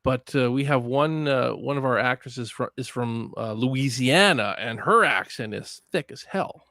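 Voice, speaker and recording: Casual man from Canada, a filmmaker guest, gravelly voice, easygoing with a quick laugh and some swearing, dry close sound. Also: mid-range voice, no room sound. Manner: relaxed, laughs often, swears freely. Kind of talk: casual podcast chat.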